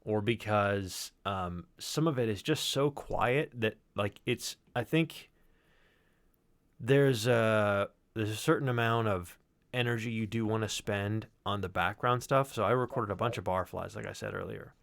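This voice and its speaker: A man's voice: lowish voice, unhurried, creaky, a bit bright, no room sound. A man giving a casual, rambling walkthrough as a sound designer, with some swearing. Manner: rambling, explanatory, profane. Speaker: sound designer presenting his work.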